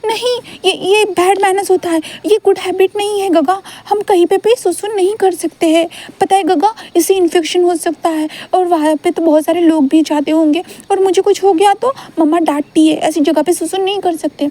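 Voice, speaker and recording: A woman's voice: very high-pitched, steady tone, normal speed, hardly any pauses, warm tone, voice pushed up. Woman doing a whiny childlike young man. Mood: fussy. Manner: whiny, childish, fussy pleading.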